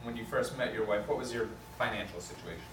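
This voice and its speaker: A man's voice: fairly low pitch, fast talker, natural voice. A man playing a cautious, skeptical small-time lawyer in a table read, hesitant and questioning. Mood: probing. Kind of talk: amateur script table read. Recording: echoey room, distant mic, noisy.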